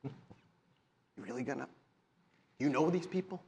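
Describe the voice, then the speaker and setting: A man voicing an evasive, frightened man in a tabletop game, pleading and halting in his questions. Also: mid-range voice, creaky, darkish tone, slight background noise, close to an everyday voice. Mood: frightened, stalling. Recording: live convention show recording.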